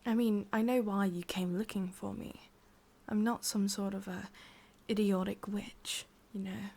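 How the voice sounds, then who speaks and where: A woman with an English accent voicing a possessive, sadistic witch in a slow, breathy ASMR roleplay whisper. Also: medium pitch, steady tone, dark tone. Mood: smug, teasing. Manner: slow, intimate, teasing. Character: sadistic, possessive witch, softly menacing and doting.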